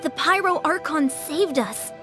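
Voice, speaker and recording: Earnest young heroine, high voice. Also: female voice, expressive, unhurried, music in the background. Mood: resolute. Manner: earnest, resolute. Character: earnest young warrior woman, caring and determined. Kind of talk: video game voice acting with musical score.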